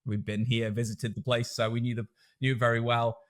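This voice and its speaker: A man's voice: mid-range voice, flat delivery, a little fast, fairly bright tone. Stoke-accented man, mid-range voice, plain-spoken, corrects himself a lot. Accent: Stoke-on-Trent English. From Stoke, England. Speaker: podcast guest, school director. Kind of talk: casual interview chat.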